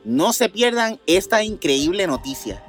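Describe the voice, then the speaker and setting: A man's voice: sits high, sing-song, a little slow, music in the background. A man with a Mexican accent hosting a mystery news show, mixing scripted narration with slangy, informal asides. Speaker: news host.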